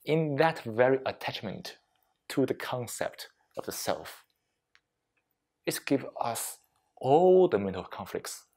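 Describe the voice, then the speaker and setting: A man leading a reflective mindfulness talk as a meditation teacher, speaking deliberately in short phrases with frequent pauses and non-native English phrasing. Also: mid-range voice, sing-song, darkish tone. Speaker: meditation teacher giving a workplace session.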